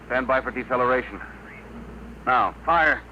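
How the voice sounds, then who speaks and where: An actor playing a steely spaceship pilot barking clipped commands in a 1950 radio drama. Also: male voice, mid-range voice, normal speed, natural voice. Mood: businesslike. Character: steely male spaceship pilot under pressure. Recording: muffled, very noisy old radio recording.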